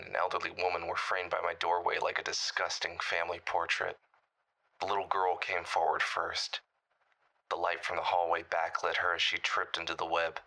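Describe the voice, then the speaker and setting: An actor playing a bitter caller who survived a monster attack, recounting it grimly in an audio drama. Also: male voice, low-pitched, bright, forceful tone, exaggerated voice, poor audio. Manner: grim storytelling, bitter.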